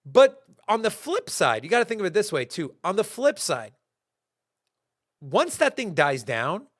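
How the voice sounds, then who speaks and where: Animated young man talking crypto markets. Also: sits high, a little slow, no room sound, studio quality. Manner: animated, talks in bursts with fillers and restarts. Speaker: crypto market show host. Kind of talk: casual market commentary to a live chat.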